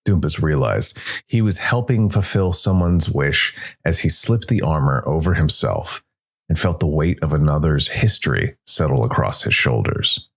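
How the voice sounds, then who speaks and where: A man's voice: very deep voice, animated, moderate pace, vocal fry, breathy edge. A man narrating a scripted fantasy tale dramatically as a storyteller. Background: music.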